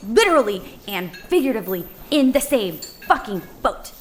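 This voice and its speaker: A woman's voice: medium pitch, very animated, normal speed, lightly acted. A woman playing a tough, exasperated young female prisoner in an audio drama, blunt and snarky. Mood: scolding. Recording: faint background noise.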